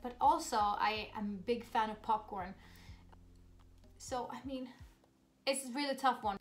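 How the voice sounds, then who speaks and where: A woman's voice: fairly high pitch, measured pace, a little hiss or hum. A woman in her thirties from Sweden with a Swedish accent, chatting upbeat and bubbly through a vlog Q&A. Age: 30s. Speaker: vlogger. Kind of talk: casual Q&A vlog.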